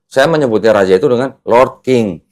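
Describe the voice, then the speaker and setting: A man's voice: mid-range voice, brisk. A man hosting a religious discussion, explaining step by step in a didactic, conversational way and checking that his listener follows. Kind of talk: conversational teaching with leading questions.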